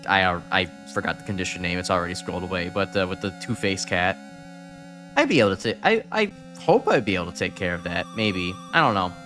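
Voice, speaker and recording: Young Chicago-accented male streamer, low-pitched, loose and rambling delivery, soft music underneath. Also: rough recording. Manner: rambling, self-correcting, chuckling. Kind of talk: casual game stream chat.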